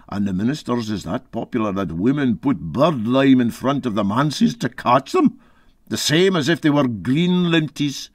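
Man acting a boastful old Scottish sea captain, very animated. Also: mid-range voice, dry recording, clean studio sound. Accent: Scottish Highland. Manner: garrulous, folksy, emphatic.

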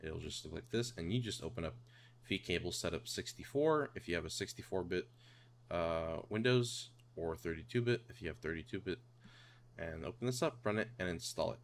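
Male tutorial host, low-pitched, informal and instructional, slight hum behind the voice. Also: lively intonation, brisk. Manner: informal, step-by-step explaining. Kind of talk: casual screen tutorial narration. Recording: clear background noise and hum.

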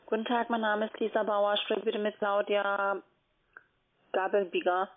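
A woman's voice: mid-range voice, flat delivery, a little slow. A woman making scripted phone sales calls as a call centre agent. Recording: noisy, tinny phone line. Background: background noise on the line.

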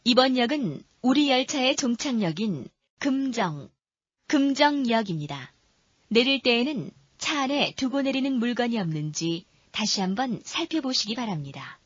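Lo-fi recording, woman announcer, dry sound, formal measured subway announcement. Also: on the high side, very animated, breathy edge, no room sound. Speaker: train announcer. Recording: dull, lo-fi train announcement recording.

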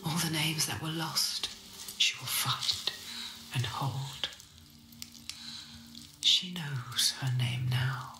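A woman voicing an eerie spirit in a video game, whispering breathily in hushed, ominous fragments. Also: low voice, very slow, pauses often. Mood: haunting, ominous. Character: eerie whispering female spirit voices in a warrior's head. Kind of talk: dramatic video game voice acting. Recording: echoey, music underneath. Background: music.

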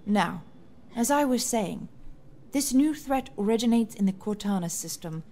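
A woman's voice: medium pitch, lively intonation, normal speed, dark tone, close to an everyday voice. Composed, grave noble rebel leader, acted by a woman. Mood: grave briefing. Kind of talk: science fiction audio drama acting.

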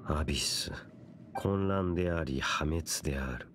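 A man playing a grave, brooding wandering knight in a Japanese video game, speaking in a solemn, measured and cryptic way. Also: a bass voice, background noise.

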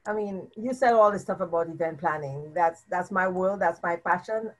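A woman's voice: on the low side, normal speed, muffled recording. An older woman from Trinidad, speaking as a panelist in an online discussion. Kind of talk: panel discussion over video call.

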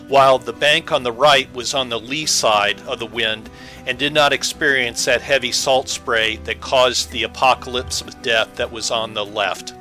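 A man's voice: medium pitch, sharp tone. A man giving scripted voice-over narration as an angler recounting his fishing trip.

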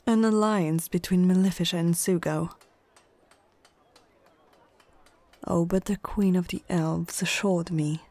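Woman playing a slow, imperious female orc chieftain. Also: on the low side, dark tone, dry recording. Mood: disbelieving, wary. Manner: slow, imperious, condescending, often dropping to a whisper. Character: proud, menacing female orc chieftain and war commander. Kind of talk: ASMR fantasy roleplay. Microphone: close microphone, dry.

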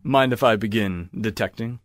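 A man's voice: fairly low pitch, normal speed, slight background noise, lightly acted. A man playing a pompous, bumbling 1930s hotel detective in an audio drama, rattling off deductions. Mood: cocksure.

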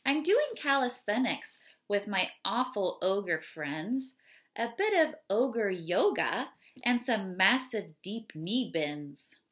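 A woman in her thirties reading children's poems aloud and chatting casually, in an unhurried, deliberate way. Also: mid-range voice, fairly bright tone. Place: small home room, indoors. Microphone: webcam or laptop mic at desk distance.

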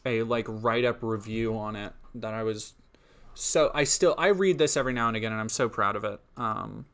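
A man's voice: fairly low pitch, moderate pace, vocal fry, a bit bright. A young man chatting casually on a stream, answering chat, rambling with frequent ums and restarts, a little sniffly and sleepy. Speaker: streamer and indie game developer.

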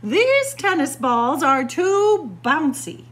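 Woman voicing a spoiled, high-pitched complaining princess. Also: big pitch swings, slow pace, fairly bright tone, exaggerated voice. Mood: petulant. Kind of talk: storybook read-aloud.

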